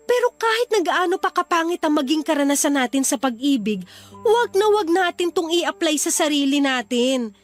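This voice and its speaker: A woman playing a caring but exasperated friend in a Filipino radio drama, earnestly admonishing with a Filipino accent. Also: sits high. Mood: earnest, pleading. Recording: dramatic music behind the voice.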